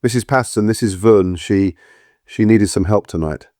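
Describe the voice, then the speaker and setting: An actor playing an anxious, protective husband in an audio drama, muttering with urgency. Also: male voice, lowish voice, normal speed, warm tone, dry recording, studio quality, close to an everyday voice. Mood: awkward, cautious. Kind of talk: full-cast audio drama acting.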